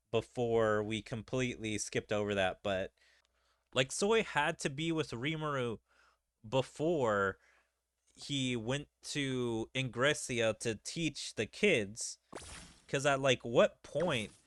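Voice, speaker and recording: A man's voice: medium pitch, a bit bright, dry recording, studio quality. A man giving casual, slangy gaming commentary as a streamer. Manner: slangy, informal. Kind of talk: casual let's play commentary, reading game dialogue aloud.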